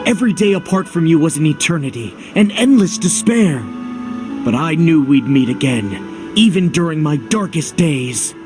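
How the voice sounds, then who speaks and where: An actor playing a young game hero who shifts between earnest love declamations and dry deadpan. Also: male voice, a little high, moderate pace, music in the background, close to an everyday voice. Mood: ardent. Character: young male hero reciting theatrical love speeches, reluctantly wry. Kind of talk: video game cutscene acting.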